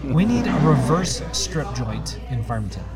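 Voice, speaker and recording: A man's voice: medium pitch, very animated, normal speed. A man hosting a casual talk show, joking and laughing often, with music playing behind. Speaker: host. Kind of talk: casual podcast chat.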